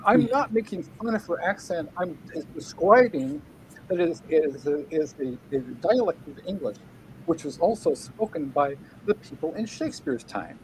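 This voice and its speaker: A middle-aged man with a notably high voice delivers a furious, profanity-laced rant over a video call. He repeats phrases and trips into restarts, leaving a faint hum in the background. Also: expressive, normal speed, darkish tone, rough recording. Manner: angry, profane, repeats himself, stumbling restarts. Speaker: guest writer. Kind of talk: angry livestream rant.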